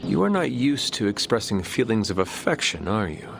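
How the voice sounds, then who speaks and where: A low, solemn warrior hero. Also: male voice, big pitch swings, vocal fry. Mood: formal, curious. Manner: formal, measured phrasing without contractions. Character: solemn, formal ancient warrior hero, earnest and courteous. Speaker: video game voice actor. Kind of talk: scripted game dialogue. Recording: music behind the voice.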